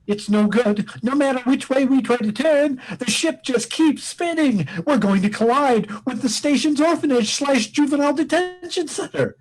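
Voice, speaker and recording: A man's voice: extremely high pitch, normal speed, hardly any pauses, rough recording. A man playing a pompous, melodramatic space fleet admiral in a comic radio play, formal and theatrical. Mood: alarmed.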